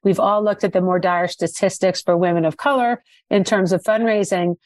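A woman's voice: lowish voice, fairly even intonation, normal speed, a little mellow, no room sound. A woman co-hosting a podcast, asking a guest direct, businesslike questions. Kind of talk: interview questions.

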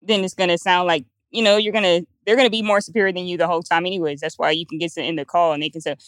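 A young woman in sales talks quickly in a lowish voice, full of fillers and restarts. Her speech is recorded dry and close, with no room echo. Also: steady tone, a bit bright. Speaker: sales coach. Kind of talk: casual panel chat.

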